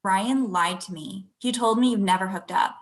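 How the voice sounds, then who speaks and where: A woman's voice: mid-range voice, moderate pace, lightly acted. A young woman acting a hurt, confrontational girlfriend in a naturalistic script read over a video call. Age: young adult. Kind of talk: acted pilot table read, naturalistic. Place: small room at home, video call. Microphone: computer video-call mic.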